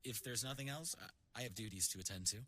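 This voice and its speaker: A man's voice: lowish voice, fast, bright, forceful tone. An actor playing a curt, dismissive male officer in a video game.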